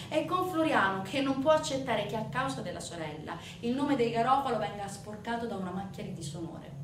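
A woman performing a theatrical narrative monologue, emphatic, with deliberate pauses and swings between hushed and forceful delivery. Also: a little high. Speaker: stage actress and narrator. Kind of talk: dramatic theatrical monologue storytelling. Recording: distant, echoey room recording with faint noise.

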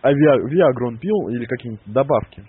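A man's voice: mid-range voice, lively intonation, brisk, breathy edge, no echo. A young man in his twenties making a prank phone call, needling with mock-innocent questions. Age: 20s. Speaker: prank caller. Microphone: telephone. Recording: tinny phone line.

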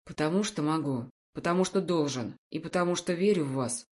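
A woman voicing an imperturbable, commanding man in an audiobook story, speaking in a calm, measured, authoritative way. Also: low voice, breathy edge, pitched below the performer's own voice. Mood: earnest. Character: imperturbable, commanding wealthy man in a formal suit.

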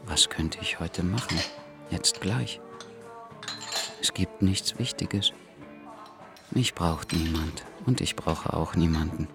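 Radio drama role: despondent man in his thirties, very low voice, brooding and halting, music underneath. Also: gravelly, heavily acted. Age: 30s. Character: despondent thirty-year-old husband planning his own murder. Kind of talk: radio drama inner monologue and dialogue. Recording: music behind the voice.

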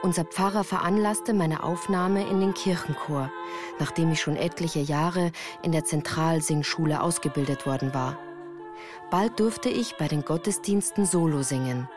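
A melancholy actress as a woman looking back on a hard youth in a radio play. Her voice is on the low side, telling the story in a measured, earnest way with clear music behind it. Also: lightly acted. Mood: reflective, earnest. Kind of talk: scripted literary narration for radio drama.

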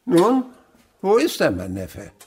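An actor playing a gruff, sly, miserly older husband and uncle in a radio drama, grumbling and sarcastic. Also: male voice, high-pitched, huge pitch swings, slow, darkish tone, a little hiss or hum, heavily acted. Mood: gruff, mocking. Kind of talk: German radio drama acting.

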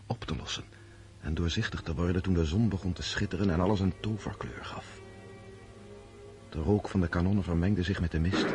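An actor playing an awestruck count who recounts a battle in lyrical, descriptive radio drama narration. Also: male voice, low-pitched, darkish tone, natural voice. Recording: music behind the voice.

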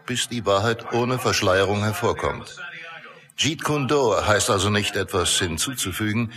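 A man's voice: fairly low pitch, bright, forceful tone. An actor playing an admiring martial arts pupil who explains his teacher's ideas in a radio drama testimonial. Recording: crowd sounds clearly behind the voice.

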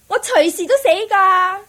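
An actress playing a brazen, world-weary courtesan in a Cantonese radio drama, teasing and mocking with a Hong Kong accent. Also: female voice, very high voice, a bit bright, heavily acted.

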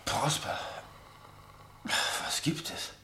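A man's voice: extremely slow, pushed and bright. An actor playing a drowsy man woken at night in a German radio play, murmuring sleepily and half whispering. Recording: echoey room.

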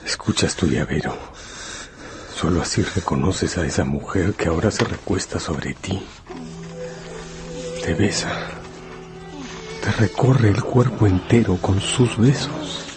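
A man narrating a radio novel in a solemn, deliberate, dramatic second-person style. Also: low-pitched. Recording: music bed under the voice. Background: music.

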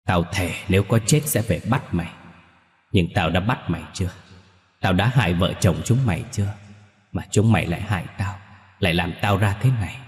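A man's voice: sits low, steady tone, moderate pace, pauses often, darkish tone, roomy sound, in a large hall, lightly acted. A man voicing a vengeful old father's ghost in a horror story, growling through gritted teeth with bitter, taunting menace. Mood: bitter rage. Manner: cursing, taunting.

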